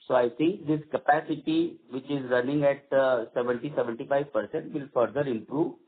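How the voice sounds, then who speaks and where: A man with an Indian accent answering as a company executive on an earnings call, halting and repeating phrases as he goes. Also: medium pitch, a little hiss or hum. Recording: dull lo-fi conference call audio.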